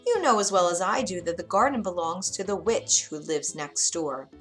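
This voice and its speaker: A woman's voice: on the low side, expressive, normal speed, faint music behind, lightly acted. A woman voicing a frightened male carpenter who begs and pleads in a storybook. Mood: worried. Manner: anxious, pleading.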